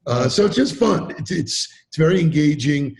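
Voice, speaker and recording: A man talking as a podcast guest in a casual interview, animated and emphatic, acting out quotes as he tells stories. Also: fairly high pitch, moderate pace, creaky. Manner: animated, emphatic storyteller who acts out quotes. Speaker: podcast guest, sales trainer.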